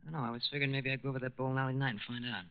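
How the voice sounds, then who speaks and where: An actor in a 1940s radio drama playing a discontented, scheming teenage war plant worker with slangy, grumbling speech. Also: male voice, medium pitch, flat delivery, rapid-fire, clear, resonant tone, close to an everyday voice. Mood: sly, persuasive. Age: young adult. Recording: muffled 1940s radio recording.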